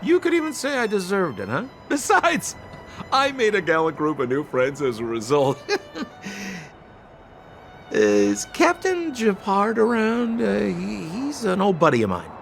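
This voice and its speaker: A slippery, flamboyant young rogue from a video game, pitched high and swinging freely up and down. He is glib and theatrical, forever wheedling his way along. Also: huge pitch swings, unhurried, vocal fry, fairly bright tone, soft background music, full character voice. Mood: glib, ingratiating.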